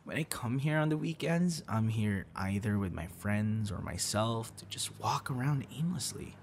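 A man's voice: on the low side, expressive, moderate pace, slight background noise. A young man acting a teasing, friendly boyfriend figure in an intimate, casual audio roleplay. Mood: casual, playful. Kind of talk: ASMR boyfriend roleplay, intimate one-sided dialogue.

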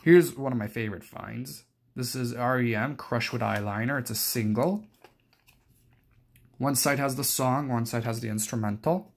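A man's voice: medium pitch, moderate pace, vocal fry, a little hiss or hum. A young adult man giving a casual, unscripted show-and-tell, rambling hesitantly and often correcting himself. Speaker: collector presenting his cassette collection.

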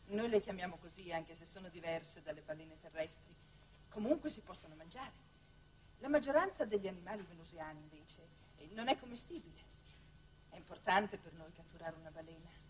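An actress playing a matter-of-fact young nurse who is envoy of a colony, in 1960s Italian television drama style. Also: female voice, lowish voice, a little fast, lightly acted. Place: television studio stage. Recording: noisy old 1960s television soundtrack.

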